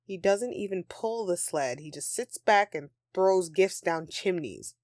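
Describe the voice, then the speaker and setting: A woman's voice: fairly low pitch, animated, measured pace, a little mellow, no echo, clean studio sound. A woman playing a grumpy but affectionate Christmas elf in an intimate audio roleplay, with a sarcastic, dramatic, sighing delivery. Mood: indignant ranting.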